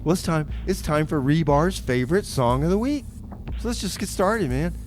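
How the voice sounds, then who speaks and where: A man works as a community radio DJ, chatting casually between songs. His voice is a little higher than average, and his manner is easygoing and folksy. Faint noise sits behind him in the broadcast. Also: lively intonation, normal speed, darkish tone. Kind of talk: casual music show patter.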